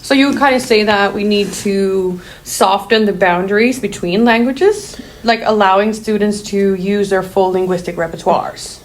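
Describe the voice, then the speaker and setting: A woman's voice: mid-range voice, moderate pace, hardly any pauses. A woman from Sweden moderating a casual discussion, leading it with inquisitive questions.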